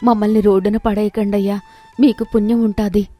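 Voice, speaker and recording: A woman playing a desperate village mother who begs for mercy in an animated moral story. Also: mid-range voice, a little fast, soft background music, natural voice. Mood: desperate, pleading. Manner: pleading.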